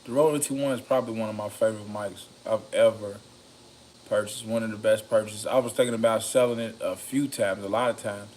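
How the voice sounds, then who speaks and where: A raspy-voiced man rambling casually about microphones, slow and meandering, with many ums and a slightly loaded, loose delivery. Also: fairly low pitch, fairly even intonation, darkish tone, slight background noise. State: a bit intoxicated. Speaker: home studio vlogger reviewing microphones. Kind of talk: rambling casual talk to camera.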